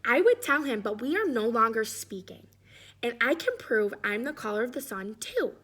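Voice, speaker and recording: Storybook crayon, male, boastful and self-righteous, higher-pitched, acted out by a woman reader. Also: very animated, moderate pace, fairly bright tone. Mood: indignant, boastful. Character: indignant boastful Yellow Crayon, insisting he is the sun's color.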